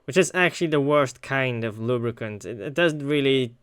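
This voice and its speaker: A man's voice: mid-range voice, normal speed, smooth, no room sound, studio quality. A man giving joking, sarcastic gaming commentary and reading visual novel dialogue aloud. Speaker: video game let's player.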